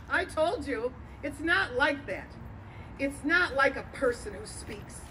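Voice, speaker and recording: A woman's voice: sits high, expressive, slow pace, sharp tone, heavily acted, rough recording. A woman playing a cranky, guarded interviewee in a comic dramatic monologue. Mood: defensive, curt. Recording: live venue, audience and background noise.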